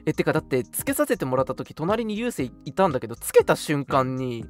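A man's voice: a little high, brisk. A young adult man co-hosting a radio show in casual chat, an agreeable listener who often chimes in. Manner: animated, agreeable listener with repeated backchannels. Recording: background music behind the talk.

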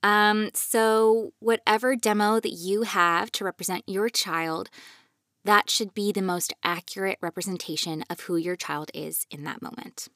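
A woman's voice: medium pitch, steady tone, unhurried, a bit bright, no echo. A woman hosting a podcast, giving explanatory, conversational advice into a close microphone. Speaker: podcast host and voiceover coach.

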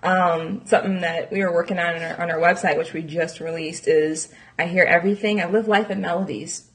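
A woman's voice: lowish voice, fairly even intonation, brisk. A woman in her late twenties co-hosting a podcast, chatting casually about herself. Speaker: podcast co-host.

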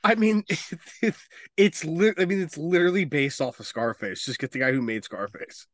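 A man's voice: on the high side, normal speed, no room sound, clean studio sound. A man co-hosting a podcast, chatting casually with enthusiasm, jokes and swearing. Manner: enthusiastic, joking. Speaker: podcast co-host. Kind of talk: casual, profane pop-culture banter.

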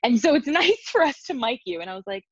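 Deep-voiced woman on a video call. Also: sing-song, fast talker, husky, dry recording, muffled recording. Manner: playful, laughing, self-deprecating, tangent-prone. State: worn out after crying. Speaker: podcast co-host. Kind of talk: casual chat over video call. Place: in her bed at home.

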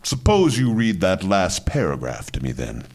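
Suave low-voiced, swooping mystery intruder. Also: male voice, wildly swooping pitch, a little mellow, exaggerated voice. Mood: calmly insistent. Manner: polite, halting, smoothly mocking. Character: suave, mysterious male intruder, politely menacing villain. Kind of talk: old-time suspense drama acting.

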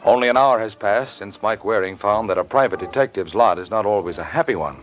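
A man's voice: fairly low pitch, brisk, low-quality recording. Male radio narrator over music. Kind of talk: 1950s scripted radio narration. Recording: old radio recording over clear music.

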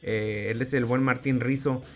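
A man's voice: medium pitch, fairly even intonation, unhurried, faint music behind. A man in his forties with a Mexican accent hosting a podcast in a casual, slangy way and swearing freely. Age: 40s. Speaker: podcast host. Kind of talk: podcast introduction and casual interview chat.

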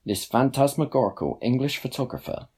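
A young man reading a scripted essay aloud in a measured, formal manner. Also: mid-range voice, lively intonation, a little mellow, breathy edge. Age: young adult. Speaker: student writer reading own blog post. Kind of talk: scripted narration, read aloud.

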